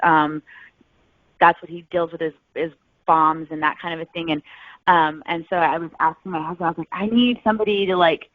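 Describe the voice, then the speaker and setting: A woman's voice: on the low side, steady tone, fairly quick, no room sound. A woman novelist chatting casually as a podcast guest over a call. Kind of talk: casual interview chat. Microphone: phone or online call. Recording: tinny phone-like line, dry.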